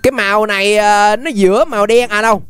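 Young male gaming YouTuber, southern Vietnamese accent, high-pitched, excitable and exclamatory, music underneath. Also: fast, clear, resonant tone. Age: young adult. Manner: animated, exclamatory, repeats phrases. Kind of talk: excited gameplay commentary. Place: indoors at a gaming setup. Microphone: desk microphone close to the face.

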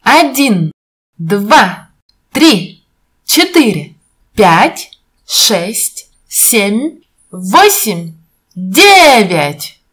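High, lively woman teaching small children slowly. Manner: encouraging, sing-song teacher talk with questions to the viewer. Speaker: presenter of a children's counting video. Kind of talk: educational narration for young children.

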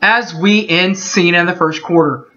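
A man in his thirties reading through postgame football stats from his bedroom, steady and methodical, with small slips he corrects as he goes. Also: high voice, moderate pace. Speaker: sports fan recapping a game. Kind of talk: webcam postgame stats recap. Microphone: webcam mic. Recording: dull lo-fi webcam audio.

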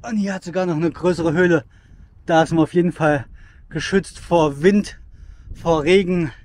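High-voiced chatty man hiking outdoors. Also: steady tone, moderate pace, a little mellow, a little hiss or hum. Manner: chatty and enthusiastic, with frequent fillers. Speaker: outdoor gear vlogger. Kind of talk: casual hiking vlog with gear reviews. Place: rocky forest outdoors.

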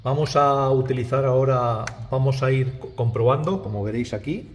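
A man's voice: mid-range voice, moderate pace. Middle-aged man, hands-on tradesman explaining as he works, medium voice, light background hum. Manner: talks to viewers as "chicos", repeats words, frequent "¿vale?". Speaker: electrician. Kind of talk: casual on-the-job explainer. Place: small indoor restaurant back room. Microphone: body-worn camera, first-person view.

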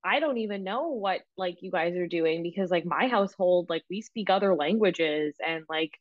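A woman's voice: lowish voice, normal speed, dry recording, muffled recording. A young woman from the Canadian prairies, a dietitian, chatting casually and animatedly in an interview over a video call, with many filler words. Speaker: registered dietitian guest. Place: small home room, indoors. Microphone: laptop microphone on video call.

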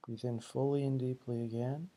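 A man leading a guided meditation in slow, deliberate, soothing short phrases, with a husky, gravelly voice. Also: mid-range voice, flat delivery, mellow, low-quality recording.

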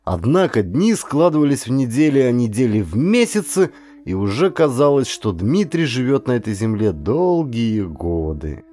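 A man narrating a historical adventure novel as an actor would, in an expressive storyteller's manner with colloquial asides and drawn-out phrases. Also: mid-range voice, no echo.

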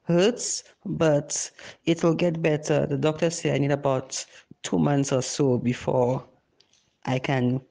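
A man playing a weary, affectionate injured partner in an amateur audio drama, measured and reassuring. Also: fairly high pitch, level tone, creaky, warm tone.

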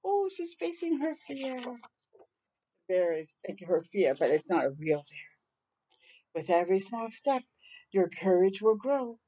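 Older female storytime reader, soft mellow tone, unhurried pace, deliberate dramatic delivery for young children. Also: medium pitch, sing-song. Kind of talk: read-aloud of a rhyming picture book with brief asides. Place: outdoors on a porch.

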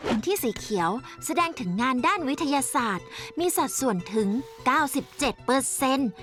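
A woman's voice: high voice, very animated, fairly quick, fairly bright tone, soft background music. A woman voicing a precocious four-year-old girl scientist in a cartoon dub, speaking in a formal, lecturing, matter-of-fact way.

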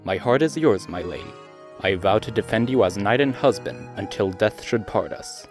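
A man's voice: on the low side, expressive, moderate pace, soft background music, natural voice. A man voicing an earnest, devoted young knight in a fantasy game fan dub, speaking in a formal, courtly way. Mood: tender, devoted.

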